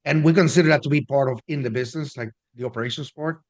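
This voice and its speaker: A man's voice: medium pitch, quick pace. Man hosting a business interview. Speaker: host, business coach.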